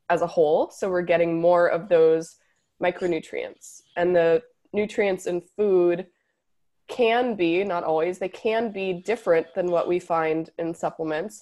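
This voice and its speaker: A woman dietitian, a podcast guest with a slightly hoarse voice, explaining fluently. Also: lowish voice, a little mellow. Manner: fluent, explanatory, occasional laughs. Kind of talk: podcast interview.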